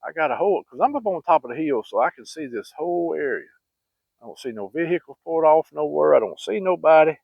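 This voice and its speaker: A man's voice: a little high, animated, brisk, creaky, dry recording. A middle-aged man telling a rambling, folksy story with many fillers and restarts. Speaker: channel host telling hunting stories. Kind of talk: casual storytelling. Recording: dry, phone-like sound.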